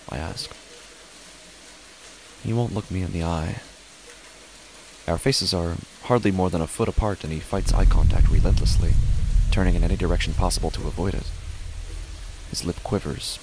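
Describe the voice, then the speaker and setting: A man playing an uneasy father who narrates his own horror story in a deliberate, measured way with dramatic pauses. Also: sits low, slight background noise, rough recording. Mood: grave, uneasy. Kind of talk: scripted first-person horror narration.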